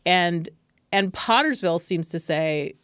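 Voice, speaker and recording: A woman's voice: fairly low pitch, lively intonation, unhurried, a bit bright, smooth, dry recording. A woman hosting a podcast, talking about films in a conversational, emphatic way. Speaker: podcast host. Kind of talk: film discussion and recommendations.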